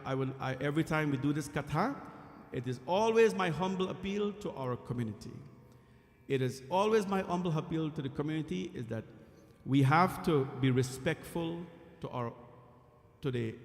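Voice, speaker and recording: A man from Guyana, a temple priest, giving an earnest, emphatic devotional sermon with frequent pauses. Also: fairly high pitch. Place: temple hall. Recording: echoey temple hall.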